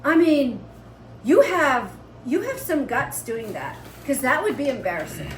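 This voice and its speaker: A woman's voice: high voice, very animated, measured pace. Female vlog co-host, unscripted and conversational, taking her time, recorded in a reverberant room. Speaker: co-host of a family homestead channel. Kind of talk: casual unscripted chat. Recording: roomy, distant mic with faint noise.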